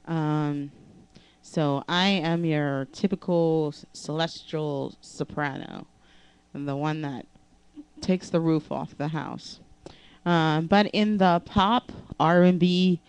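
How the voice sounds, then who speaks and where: A young woman vocal coach speaks slowly in a very low, smooth voice, pausing often with fillers and restarts. She holds a microphone in her living room, and the sound is close and dry. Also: a little mellow, no room sound. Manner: halting, many fillers and restarts. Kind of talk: casual vocal lesson vlog. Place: living room with couch and keyboard. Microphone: handheld microphone.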